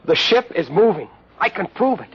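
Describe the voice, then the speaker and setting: A man's voice: high voice, very animated, normal speed, husky, heavily acted. An actor playing an earnest, intense young male heretic in 1950s radio drama style. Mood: urgent pleading. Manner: intense, pleading. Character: earnest, urgent young man, a convicted heretic. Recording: dull lo-fi old radio recording.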